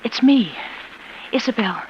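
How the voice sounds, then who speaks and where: Anguished wife, noisy old radio drama. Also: female voice, fairly high pitch, animated, muffled recording. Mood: pleading. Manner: pleading, emotionally intense, halting. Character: anguished, ailing former concert pianist wife, desperately insistent. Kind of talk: 1940s radio drama acting.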